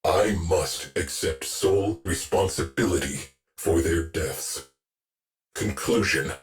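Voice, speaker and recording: A man voicing a grim, guilt-ridden military spaceship artificial intelligence in a video game, with clipped, terse, solemn delivery. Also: deep voice. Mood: solemn, resolute. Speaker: command AI of a combat spacecraft. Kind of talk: scripted video game voice lines.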